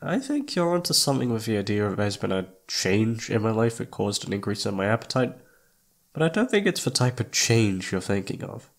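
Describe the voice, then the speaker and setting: A man's voice: on the low side, sing-song, a little fast, vocal fry. A man playing a shy, flustered young incubus roommate in an ASMR roleplay, hesitant and awkward, often trailing off. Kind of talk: ASMR roleplay audio drama.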